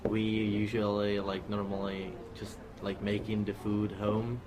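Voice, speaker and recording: A teenage boy from the Czech Republic talks with a Czech accent, halting and full of filler words. His voice is low and flat. Background noise sits behind him. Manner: halting, repeats "like". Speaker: exchange student.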